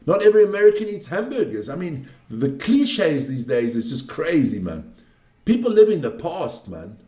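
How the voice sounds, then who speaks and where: Male livestream commentator, about fifty. High voice, opinionated and sweary, casual delivery, echoey room sound. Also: very animated, normal speed, mellow. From South Africa, later Switzerland. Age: around 50. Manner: blunt, opinionated ranting with frequent swearing and "man" tags. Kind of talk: casual off-the-cuff livestream talk with some race commentary. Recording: roomy, echoing room sound.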